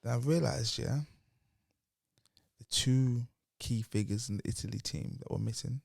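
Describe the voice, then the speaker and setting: A young man chatting football as a guest, animated and slangy, his voice sitting at an ordinary middle pitch. He is recorded close on a podcast mic, dry with almost no room sound. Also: moderate pace, gravelly, no room sound. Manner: animated, uses slang like bro and yeah. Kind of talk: casual football chat.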